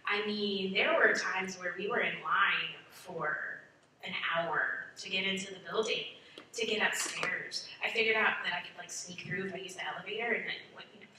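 A woman speaking on a panel as a teacher, recalling her experiences in an animated, emphatic way. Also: medium pitch, normal speed. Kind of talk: panel discussion, personal recollection. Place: large furnished meeting room. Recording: echoey room, distant, hissy background noise.

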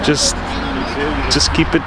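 A man in his forties chatting casually and playfully to a handheld camera as he walks outdoors, hosting a travel vlog. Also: slow pace. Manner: joking, playful. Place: outdoors, walking by a road and abbey grounds. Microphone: handheld camera at arm's length. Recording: loud outdoor ambient and traffic noise.

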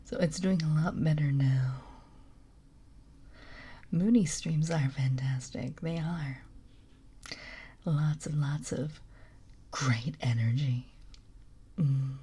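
A woman streamer whispering softly in an intimate ASMR livestream, with a raspy, deep voice and frequent giggles. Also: slow, long pauses.

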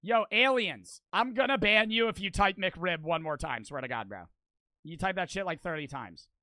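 A man in his twenties streaming a game on a headset mic, excitable and swearing, with his voice cracking from wear. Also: high voice, moderate pace, dry recording. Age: 20s. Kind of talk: livestream gaming commentary. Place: small bedroom streaming setup. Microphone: headset mic on boom arm.

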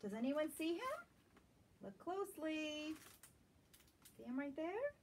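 A woman's voice: high-pitched, sing-song, slight background noise. A woman around her forties reading a picture book expressively to children, as if at a library storytime. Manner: slow, questioning asides to young listeners. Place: small indoor room lined with bookshelves. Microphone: camera a few metres away. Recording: distant camera mic in a room.

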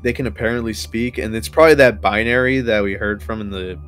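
A man's voice: lowish voice, steady tone, fast, a bit bright. A man co-hosting a casual discussion, talking informally with lots of "like" and some swearing. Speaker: co-host of a horror discussion show. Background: music behind the voice.

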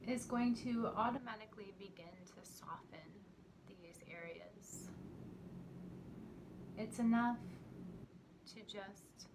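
A woman leading a guided meditation in a soothing, gentle manner, leaving long pauses between short phrases. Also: mid-range voice. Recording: clearly noisy recording with ambient sound.

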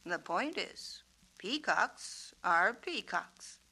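A woman voicing a kindly, wise male peacock in a storybook, patient and measured as he teaches. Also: mid-range voice, lively intonation, sharp tone, breathy edge, exaggerated voice. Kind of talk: storybook character reading.

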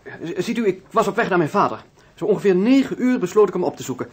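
An earnest, uneasy grown son in a radio play. His voice is husky and a bit high, that of a principled man under pressure. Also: sing-song, quick pace, exaggerated voice. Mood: nervous, evasive. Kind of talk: Dutch radio drama acting.

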